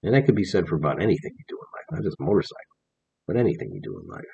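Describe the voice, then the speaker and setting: A man in his forties with a very low, gravelly voice talks earnestly to camera, rambling and restarting as he goes. His tone is husky, and the audio is dull and lo-fi. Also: wildly swooping pitch, racing, a little mellow. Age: 40s. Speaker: vlogger. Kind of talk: casual vlog monologue. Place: indoors, on camera.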